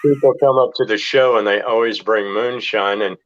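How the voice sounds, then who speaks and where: A man's voice: fairly low pitch, fairly even intonation, normal speed, a little hiss or hum. A man chatting casually as a musician guest, laid-back and plain-spoken, on a dull, lo-fi recording. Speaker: guest, rock band musician. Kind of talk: casual chat. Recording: dull lo-fi, dry close sound.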